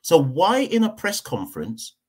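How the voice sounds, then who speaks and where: A man's voice: on the high side, wildly swooping pitch, measured pace, husky. A man from Jamaica, hosting a cricket podcast and giving a heated opinion rant, hammering points home by repeating them. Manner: emphatic, repeats points for stress.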